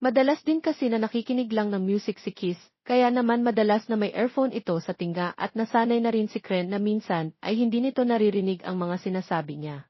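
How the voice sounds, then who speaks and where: A woman's voice: medium pitch, brisk, no room sound, dull audio. A Filipino woman narrating a scripted romance story.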